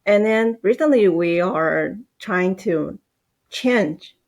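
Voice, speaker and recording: A woman, a Mandarin speaker, chatting on a podcast in halting, hesitant English. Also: medium pitch, animated, darkish tone. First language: Mandarin Chinese. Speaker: podcast guest, team manager. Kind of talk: casual podcast conversation.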